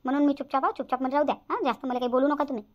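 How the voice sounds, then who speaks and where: Woman acting fast, high-pitched blunt sister-in-law. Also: steady tone, lightly acted. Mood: defiant, huffy. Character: blunt, quarrelsome young sister-in-law at a family engagement. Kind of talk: Marathi family comedy cartoon dialogue. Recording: slightly dull lo-fi audio.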